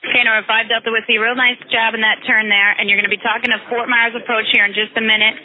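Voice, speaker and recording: A woman's voice: fairly high pitch, level tone, fast talker, phone-quality sound. A woman air traffic controller giving reassuring, encouraging instructions to a pilot over aviation radio.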